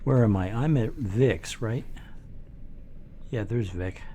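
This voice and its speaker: An older man hosting a let's play from his home office, congested and rough-voiced, rambling with many pauses and fillers. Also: fairly low pitch, warm tone, a little hiss or hum. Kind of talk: casual gameplay commentary.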